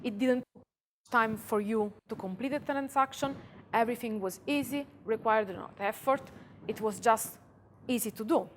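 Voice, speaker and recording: A woman from Italy with an Italian accent, giving a conference talk and guiding the audience through a calm visualization. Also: a little high, slight background noise. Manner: calm, slow guiding voice, speaks to listeners directly. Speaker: conference speaker. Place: conference room.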